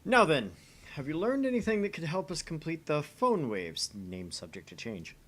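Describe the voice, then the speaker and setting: A man's voice: fairly high pitch, sing-song, normal speed. A man playing a theatrical, pompous young self-styled mad scientist in a visual novel, switching between grand pronouncements and narration. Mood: grandiose. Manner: grandiose declarations, quieter asides as narrator.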